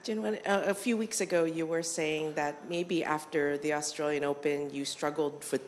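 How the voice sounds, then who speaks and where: A woman's voice: low-pitched, fairly even intonation, normal speed, a little hiss or hum. A woman reporter asking a player a long, probing question in a conversational way. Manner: probing, conversational, a few restarts. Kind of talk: press conference question. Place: press room.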